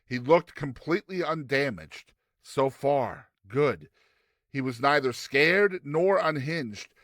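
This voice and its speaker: A man reading a classic story aloud as a theatrical, expressive narrator with deliberate delivery. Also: medium pitch, dry recording, clean studio sound. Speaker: narrator, reader.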